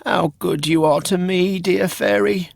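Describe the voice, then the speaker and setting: High-pitched whiny little puppet boy. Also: measured pace, vocal fry, background noise, heavily acted. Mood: tearfully grateful. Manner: whiny, excitable, exaggerated. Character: naughty young wooden puppet boy, whiny and eager.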